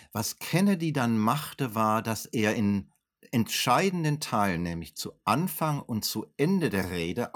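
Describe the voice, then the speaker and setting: A man with a fairly low voice answers as an expert guest in an interview. He explains in an articulate, educated way, unscripted, often hesitating and rephrasing as he goes. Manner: articulate, educated phrasing with frequent hesitations and self-corrections. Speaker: historian being interviewed as an expert.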